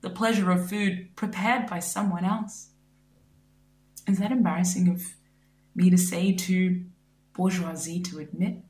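A woman performing a spoken word poem in a measured, reflective way. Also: lowish voice, steady tone, a little mellow. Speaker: poet. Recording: roomy echo.

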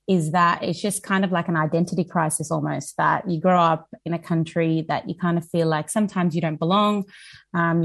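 A woman in her twenties with an Australian accent, answering conversationally as an interview guest. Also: lowish voice. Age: 20s. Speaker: interview guest, youth worker.

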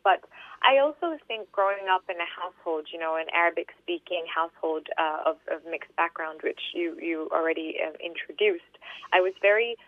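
A woman in her thirties from Australia, a poet answering interview questions with many fillers and restarts, then reading her poems in a steady, measured way. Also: on the low side, no echo. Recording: tinny phone-line sound.

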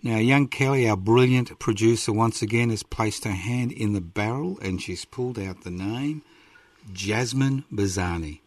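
A man's voice: on the low side, moderate pace, dry recording. A man, hosting a casual radio interview in a playful, teasing way. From Australia. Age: 60s. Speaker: radio host.

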